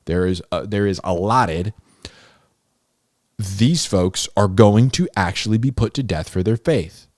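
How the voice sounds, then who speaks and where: A man's voice: on the low side, measured pace, a little mellow, no echo, clean studio sound. A man giving informal, unscripted Bible teaching in a conversational, emphatic way, repeating key phrases for stress. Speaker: host of a Bible-explainer series, teacher.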